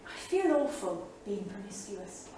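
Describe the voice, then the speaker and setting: An actress playing a restless, flirtatious 1930s bohemian wife in a theatrical staged reading, gushing and affected, with a brittle edge. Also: female voice, mid-range voice, very animated, a little slow. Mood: brittle, weary. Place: small theatre studio, indoors. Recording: echoey theatre studio from far off, faint noise.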